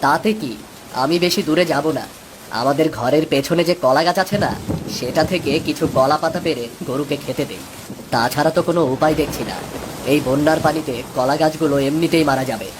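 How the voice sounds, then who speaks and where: A man's voice: on the high side, a little fast, noisy background, lightly acted. A man playing a patient, pious village father and poor farmer in a cartoon, with a homely manner. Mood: calm.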